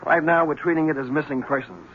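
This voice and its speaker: An actor playing a weary police lieutenant who talks in a dry, matter-of-fact way in a 1970s radio drama. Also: male voice, a little high, fast talker, close to an everyday voice. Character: weary male police lieutenant at his desk. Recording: muffled old radio broadcast with hiss.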